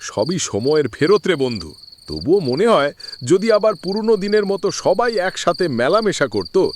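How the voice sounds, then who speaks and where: A woman's voice: fairly low pitch, sing-song, a little slow. A woman voicing a respectful, nostalgic village man in a cartoon, rustic and wistful, over chirping background noise.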